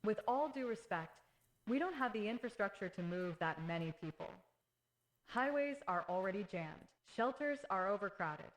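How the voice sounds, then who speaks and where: A woman's voice: medium pitch, expressive, normal speed, natural voice, poor audio. A woman playing a worried, frank government official in a horror animation. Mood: worried, blunt.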